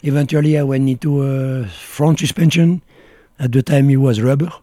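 A middle-aged Frenchman who speaks English with a French accent, answering questions in a relaxed, casual interview. His voice is mellow and dark, and he talks bluntly in short, broken phrases. The sound is dry and close to the mic. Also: medium pitch, moderate pace, no room sound. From France. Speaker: guest.

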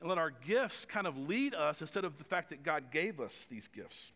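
Man around forty, pastor preaching a sermon, bright ringing voice, a bit high, conversational, reverberant church hall. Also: animated, normal speed. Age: 40s. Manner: conversational and self-deprecating, with stumbles and fillers. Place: church stage with long reverb.

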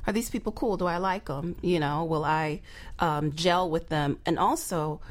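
A woman's voice: lowish voice, normal speed, a little hiss or hum. A woman guest giving business advice conversationally, often through rhetorical questions. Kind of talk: casual advisory chat.

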